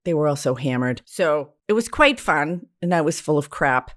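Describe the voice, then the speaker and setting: A woman's voice: low-pitched, lively intonation, normal speed. An American woman hosting a solo podcast in a conversational, candid and self-deprecating way, with informal wording. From New England, United States. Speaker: podcast host, therapist and spiritual teacher.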